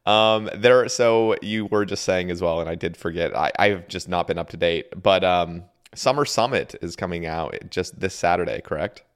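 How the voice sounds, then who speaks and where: A man with a very low voice hosts a podcast. He sounds croaky, as if he just woke up. He chats loosely, full of fillers and restarts. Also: moderate pace, hardly any pauses. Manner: relaxed, rambling with fillers and restarts. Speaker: podcast host. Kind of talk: casual chat.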